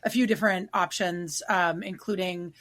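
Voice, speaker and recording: A woman's voice: fairly low pitch, steady tone, normal speed, fairly bright tone, a little hiss or hum. A woman co-hosting a casual podcast, a bakery owner who talks thoughtfully and searches for her words with fillers and restarts.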